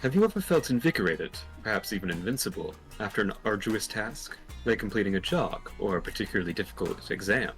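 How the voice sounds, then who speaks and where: A man voicing a calm, philosophical yoga guru in a video game, speaking in measured, formal, politely preachy phrases. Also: on the low side, soft background music. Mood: serene lecturing. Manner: measured, formal, serene lecturing.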